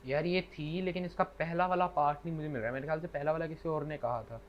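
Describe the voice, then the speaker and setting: A man with a slightly high voice co-hosts an informal podcast. He chats casually and recites couplets. The sound is dull and lo-fi, like an internet call, with faint noise behind. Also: fairly even intonation, fast. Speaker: podcast co-host.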